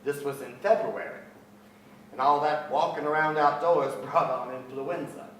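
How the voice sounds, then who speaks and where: A middle-aged actor playing a reflective poet son who narrates family memories on stage, measured and wry. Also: male voice, fairly high pitch, fairly even intonation, fairly bright tone, close to an everyday voice. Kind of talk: literary narration in staged reading. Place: large theatre stage, music stand, indoors. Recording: very echoey theatre, distant, noisy ambience.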